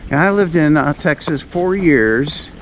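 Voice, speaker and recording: A man's voice: fairly high pitch, lively intonation, measured pace. Middle-aged man chatting outdoors, noticeable background noise. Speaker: vlog host on a hike. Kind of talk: casual chat while walking. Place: outdoors on a snowy woodland trail. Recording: noisy outdoor recording, walking on snowy trail.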